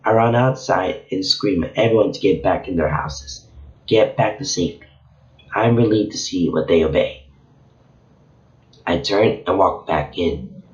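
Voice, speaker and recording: A man reads a story aloud, his very low voice hoarse and strained from a sore throat. His reading is halting and casual, with frequent slips. The recording sounds muffled and lo-fi, with a noticeable echo from the room. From Venezuela. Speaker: channel host reading a story. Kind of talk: reading a fan novel chapter aloud. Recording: echoey room, dull lo-fi sound.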